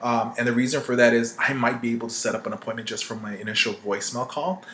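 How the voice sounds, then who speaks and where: A man's voice: medium pitch, fairly even intonation, fast talker, fairly bright tone. Emphatic male trainer over background noise. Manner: conversational and emphatic, often tagging points with "right?". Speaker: sales and marketing trainer. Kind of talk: informal instructional talk with acted-out example calls.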